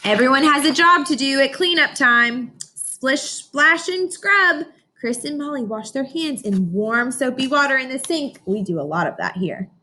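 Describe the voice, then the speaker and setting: A woman teacher reading a picture book aloud to young children, expressive, often pausing to question her listeners directly. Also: sits high. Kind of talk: informational read-aloud lesson for young children.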